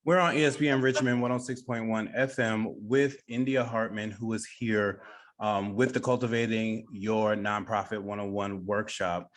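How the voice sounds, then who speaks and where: A man's voice: lowish voice, steady tone, moderate pace. A man hosting a conversational radio interview, speaking to his guest over a video call. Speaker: radio show host, interviewer.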